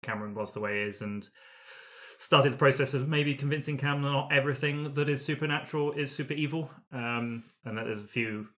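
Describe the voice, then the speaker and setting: Bright-voiced man in casual podcast chat. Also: mid-range voice, a little fast. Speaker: role-playing podcast player.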